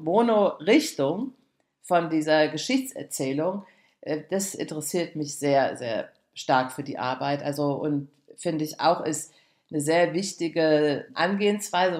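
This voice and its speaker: A woman artist with a fairly low voice, recorded in a clearly echoey room. She talks reflectively as an interviewee, rephrasing as she goes in long, loose sentences. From Frankfurt, Germany. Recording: roomy echo around the voice.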